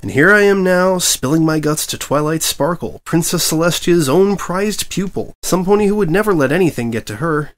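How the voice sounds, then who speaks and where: A man's voice: a little high, lively intonation, moderate pace, hardly any pauses, voice pushed up, natural voice. A man voicing a flustered young male pony newspaper reporter who rambles nervously.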